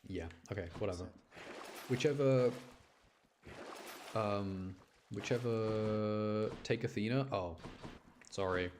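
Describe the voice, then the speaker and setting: A man with a fairly low voice commentates a game live for his chat. He is chatty and casual, thinking aloud and apologising as he goes, with noticeable noise behind him. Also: takes it slowly, pauses often, darkish tone. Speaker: game streamer talking to chat.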